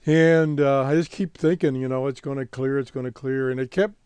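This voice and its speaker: Male hobby-show host, American, unhurried and halting, relaxed soft-edged tone, dry close sound. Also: mid-range voice, clear, resonant tone, dry recording. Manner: halting, laid-back, rambling. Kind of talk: casual unscripted update.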